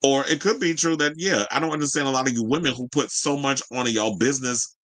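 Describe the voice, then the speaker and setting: Bright-voiced American man dishing gossip. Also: mid-range voice, fast. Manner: sassy, colloquial, exclamatory asides. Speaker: host of a celebrity news and gossip show. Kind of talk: casual gossip commentary mixing read-out news with ad-libbed asides.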